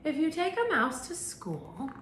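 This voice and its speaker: A woman teacher reads a picture book aloud to young children and stops to ask them questions. Her voice rises and falls with a lot of lively movement. The room is echoey, with a faint steady hum behind her. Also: high voice, unhurried. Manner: animated storytelling with questions to children.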